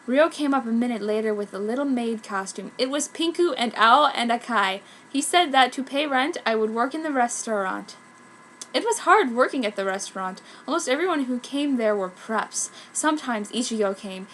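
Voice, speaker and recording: Bright, high-pitched woman reading a story. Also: lively intonation, moderate pace, a little hiss or hum, poor audio. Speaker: fanfiction reader. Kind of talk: dramatic comic reading of a story aloud.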